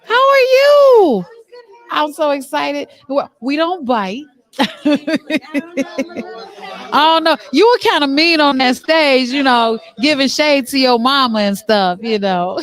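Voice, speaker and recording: A woman hosting a backstage interview in an informal, animated and effusive way. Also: sits high, slow. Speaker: talk show host. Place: on location backstage after a stage play.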